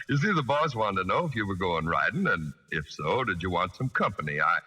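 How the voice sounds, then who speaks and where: A man playing an easygoing, polite American cowboy ranch hand in a 1940s radio drama, folksy and husky. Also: low voice, big pitch swings, fairly quick, bright, forceful tone, heavily acted. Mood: polite, affable.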